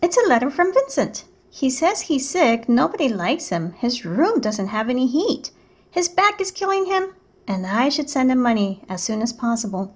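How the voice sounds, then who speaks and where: A woman voicing a supportive younger brother in a storybook cartoon, in a deliberate, matter-of-fact manner. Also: high-pitched, sing-song.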